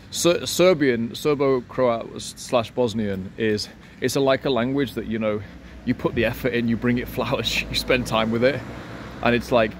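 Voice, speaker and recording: A man's voice: medium pitch, a little hiss or hum. A man in his thirties, a language teacher, talking in a playful, self-assured way in a casual vlog recorded outdoors. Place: outdoors in a city street, by a wall.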